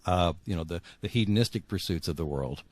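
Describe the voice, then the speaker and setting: A man's voice: sits low, dry recording. A middle-aged man being interviewed as an author, telling his personal story reflectively, often repeating words as he feels his way forward. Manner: halting, repeats words for emphasis.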